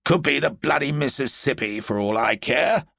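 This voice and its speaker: A male narrator raises his voice into a gruff, impatient general, barking short orders with a lively, up-and-down delivery. The voice has a gravelly, husky edge, and the reading is close and dry. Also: medium pitch, moderate pace, no room sound, voice pushed up. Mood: brusque. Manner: gruff and brusque. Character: irritable, blunt middle-aged male British general.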